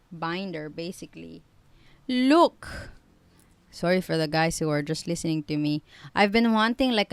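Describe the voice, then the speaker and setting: A young woman in her twenties talks in a chatty, rambling way, correcting herself and adding quick asides. Her voice sits a little low and sounds smooth and clear, recorded close and dry with no room echo. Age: 20s. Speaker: vlogger. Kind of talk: casual chatty vlog.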